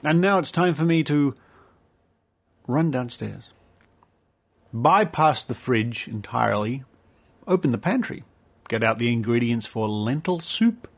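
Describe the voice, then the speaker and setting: A man's voice: mid-range voice, lively intonation, a little slow, pauses often. A man with an Australian accent hosting a podcast, giving informal introductions and announcements in a chatty, playful manner with asides. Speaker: podcast host.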